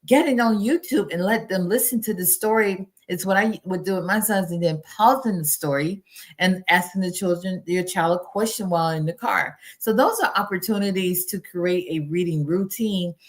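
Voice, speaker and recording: A woman's voice: fairly low pitch, moderate pace. A middle-aged woman speaking as a guest expert, giving earnest, instructive advice in a conversational interview style. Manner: earnest, instructive, listing practical tips.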